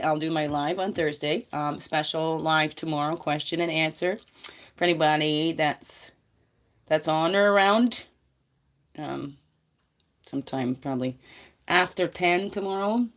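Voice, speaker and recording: Woman, low voice, nasal, congested, rambling, chatty, casual livestream. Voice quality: stuffy, nasal. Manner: rambling, chatty, laughs often. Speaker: spiritual guidance vlogger.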